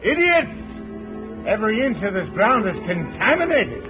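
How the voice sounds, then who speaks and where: An actor playing a combative, impassioned scientist in an old-time radio drama, urgent and exclamatory. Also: male voice, sits high, very animated, unhurried. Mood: outraged. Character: impassioned, combative scientist in fierce public argument. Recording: dull old radio recording, loud music.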